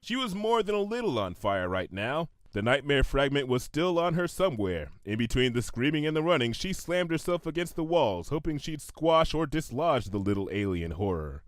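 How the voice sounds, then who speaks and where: A man reading a comic story aloud in an expressive, scripted narration style. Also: medium pitch, moderate pace, few pauses, no echo, clean studio sound. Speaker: narrator, fan fiction reader.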